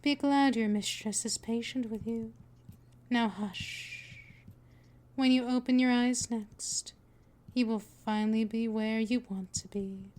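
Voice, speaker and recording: Casting: actress as a possessive female vampire mistress for ASMR audio, higher-pitched, very unhurried, hypnotic and dominant. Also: steady tone, darkish tone. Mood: patient, coaxing. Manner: slow, coaxing, soothing, often drifting into whispers. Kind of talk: scripted ASMR roleplay, hypnotic monologue.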